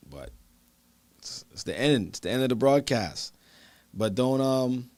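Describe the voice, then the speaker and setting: A man's voice: mid-range voice, very animated, a little slow, a little mellow, no room sound. A man hosting a church livestream, talking loosely and casually to his listeners as brothers and sisters. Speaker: church broadcast host.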